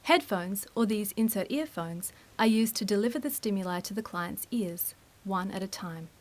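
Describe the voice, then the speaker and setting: A woman reading scripted instructional narration in a formal, measured, explanatory way. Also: medium pitch.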